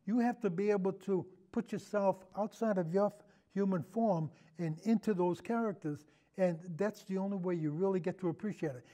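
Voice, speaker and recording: A man's voice: sits high, moderate pace, warm tone. A man speaking as a podcast guest in a casual film discussion, rambling earnestly and repeating himself as he goes.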